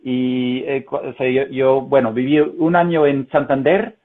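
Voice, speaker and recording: A man's voice: medium pitch, steady tone, unhurried. A man who is a non-native Spanish speaker with a Castilian accent, talking hesitantly with many fillers as an interview guest on a video call. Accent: Castilian Spanish, learned as a second language. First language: German. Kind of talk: conversational interview. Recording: tinny phone-like call audio.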